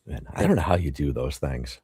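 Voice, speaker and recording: A man hosting a casual podcast interview, laid-back and agreeable, quick to laugh. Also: a bass voice, dark tone, slightly rough, dry recording, studio quality. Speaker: podcast host.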